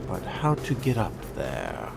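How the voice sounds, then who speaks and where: A man role-playing a sardonic, predatory vampire in solo game commentary, with wry, theatrical delivery and formal turns of phrase, under loud music. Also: extremely low pitch, huge pitch swings, measured pace, vocal fry. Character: sardonic, predatory fledgling vampire stalking prey. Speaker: gamer role-playing through a video game.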